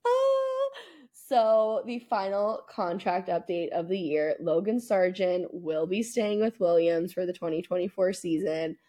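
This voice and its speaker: Chatty young American woman, mellow lowish voice. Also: lively intonation, unhurried. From United States. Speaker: podcast co-host. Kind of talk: casual chat.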